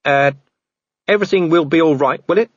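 Man playing a husky, blunt police commander. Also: fairly high pitch, brisk, no room sound, dull audio, pitched above the performer's own voice, close to an everyday voice. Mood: dazed, flustered. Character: gruff, flustered male police commander.

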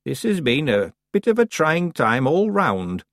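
A man's voice: on the high side, wildly swooping pitch, moderate pace, no room sound, voice pushed up, exaggerated voice. A man putting on a stern ancient witch. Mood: dry. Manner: crisp, dry, matter-of-fact. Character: very old stern calm witch woman.

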